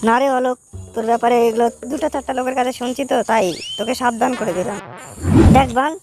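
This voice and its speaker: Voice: medium pitch, steady tone, fast talker, darkish tone, faint music behind, natural voice. A performer voicing a crude, quarrelsome young village man in a comic cartoon, brash, mocking and slangy. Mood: mocking, irritated. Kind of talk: comic cartoon dubbing, foul-mouthed banter.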